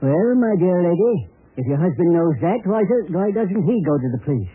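An actor playing an oldish, menacing murder suspect in an old-time radio drama, condescending and full of veiled threat. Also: male voice, sits high, expressive, fairly quick, breathy edge. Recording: tinny, band-limited old radio recording.

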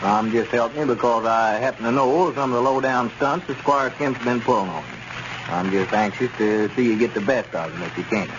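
A noisy 1930s radio comedy recording features an actor as a helpful small-town man. He speaks in a middle pitch, plain and neighborly, with an easygoing temper. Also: moderate pace, highs cut off, close to an everyday voice. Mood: encouraging.

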